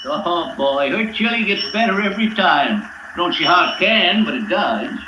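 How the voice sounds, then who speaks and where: An actor playing a chatty, friendly small-town gas station attendant in an old-time radio drama, folksy and neighborly. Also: male voice, high voice, lively intonation, normal speed. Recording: echoey, noisy old radio recording.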